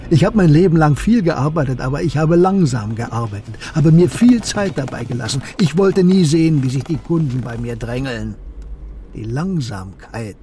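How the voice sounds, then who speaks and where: Mellow, gentle wise old man played by an actor. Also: mid-range voice, lightly acted. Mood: serene, reflective. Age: older. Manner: slow, contemplative, tender phrasing. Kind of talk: radio drama acting.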